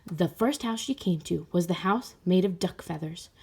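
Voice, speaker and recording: A woman's voice: fairly low pitch, normal speed, a little mellow, breathy edge. A woman reading a storybook aloud for children, expressively, speaking directly to young listeners. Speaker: storybook reader. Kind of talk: animated children's read-aloud.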